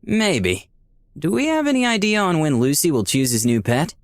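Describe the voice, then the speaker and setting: A man's voice: on the high side, wildly swooping pitch, normal speed, no echo. A man playing a skeptical, dryly sardonic dead man in Hell, narrating a visual novel in a wry, conversational way. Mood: weary doubt.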